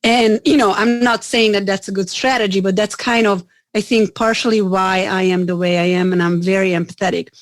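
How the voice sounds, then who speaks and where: A woman's voice: mid-range voice, steady tone, brisk, few pauses, no echo. A middle-aged woman from Hungary, a business owner talking in an interview, earnest, often restarting words and saying "you know". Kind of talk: casual interview conversation.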